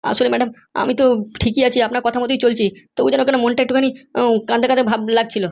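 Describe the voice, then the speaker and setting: Nervous servant sidekick woman doing it for a cartoon, worried n obedient, gravelly edge, talks super fast. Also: fairly high pitch, flat delivery, darkish tone. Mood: anxious, obedient. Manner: deferential, worried, questioning. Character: anxious, obedient accomplice serving a scheming madam. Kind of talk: comedy cartoon dialogue.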